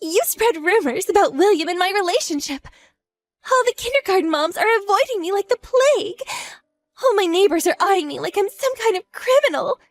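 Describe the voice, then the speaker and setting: A woman's voice: sits high, unhurried, no room sound, faint music behind, pitched above the performer's own voice. A woman voicing a frantic young mother who is the husband's lover, shrieking and near tears. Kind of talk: audio drama acting.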